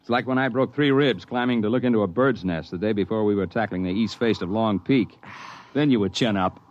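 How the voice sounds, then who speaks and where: An actor playing a steady, hearty mountain climber who tells his own tale in a 1950s radio drama. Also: on the low side, brisk, natural voice. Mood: steady, reassuring. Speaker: narrator and character. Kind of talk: 1950s radio drama, first-person narration and dialogue. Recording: dull band-limited 1950s radio recording.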